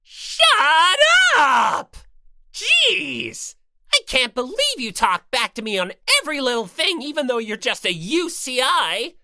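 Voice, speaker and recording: A woman's voice: high voice, big pitch swings, takes it slowly, pushed and bright, no echo, clean studio sound, full character voice. A woman voicing a gleefully cruel, bossy male robot bear mascot, taunting and theatrical, for an audiobook. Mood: irritated, insulting. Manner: theatrical, taunting, mock-polite then bellowing. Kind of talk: audiobook character voice.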